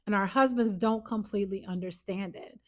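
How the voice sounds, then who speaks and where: A woman's voice: medium pitch, steady tone, a little fast. A woman in her fifties, a holistic health coach, talking to camera in an earnest, chatty way, often repeating herself. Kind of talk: casual talk to camera.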